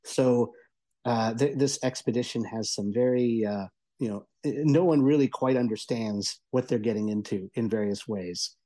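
A man giving a conversational review as a radio columnist, explaining things eagerly in a halting way. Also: mid-range voice, warm tone. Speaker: audio entertainment columnist and reviewer.

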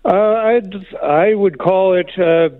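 A tinny, narrow phone line carries a fairly high-pitched man's voice, dry with no room sound. He is a radio caller who argues insistently, contradicting and pressing on through interruptions. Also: slow pace, no echo. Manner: insistent, contradicting, talks over the host. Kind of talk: argumentative phone-in debate. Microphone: telephone. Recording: thin, band-limited phone line.

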